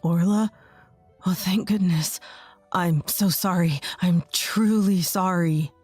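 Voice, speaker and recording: A woman voicing a remorseful, earnest young husband with a lowered voice. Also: takes it slowly, a little mellow, husky, no echo, soft background music. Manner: apologetic, earnest.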